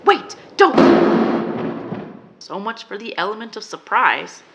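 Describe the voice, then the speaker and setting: A woman's voice: medium pitch, very animated, slow. A woman playing a plucky amateur sleuth in a radio drama, earnest and old-fashioned. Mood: alarmed. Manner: earnest, breathless, old-fashioned heroine talk. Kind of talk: 1940s radio drama acting. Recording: noisy background hiss.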